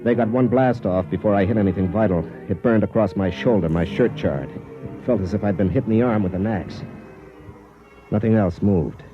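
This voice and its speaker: A man playing a deep-voiced, weary frontier soldier. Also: normal speed, music in the background, lightly acted. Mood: grim. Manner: grim, plain-spoken first-person storytelling. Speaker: narrator and lead character. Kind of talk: 1950s radio drama acting. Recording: dull old radio broadcast.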